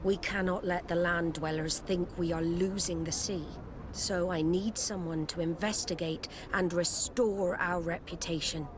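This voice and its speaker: An actress voices a stern seafaring admiral in a game, in a lowish female voice. Her delivery is grave, formal and deliberate, with loud ambience behind her. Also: steady tone, noisy background, close to an everyday voice. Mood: solemn, authoritative. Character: commanding female sea admiral, solemn and stern. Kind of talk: dramatic game dialogue.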